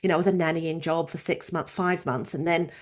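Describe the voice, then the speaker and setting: A woman in her fifties from Norway, a chiropractor and researcher telling her story in a casual, animated interview. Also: sits low, fairly quick. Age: 50s. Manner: animated storytelling, acts out quoted voices.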